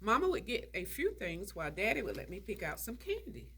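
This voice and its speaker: A woman's voice: mid-range voice, very animated, a little fast, fairly bright tone, breathy edge, exaggerated voice. A woman reading the part of a haughty, tart, nostalgic older society lady in a stage play. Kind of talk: stage play table read.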